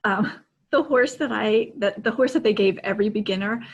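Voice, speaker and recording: A woman's voice: mid-range voice, a little fast, slightly rough, highs cut off. A woman in her forties chatting casually and with enthusiastic emphasis as a podcast co-host on a video call. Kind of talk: casual book chat. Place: small room at home.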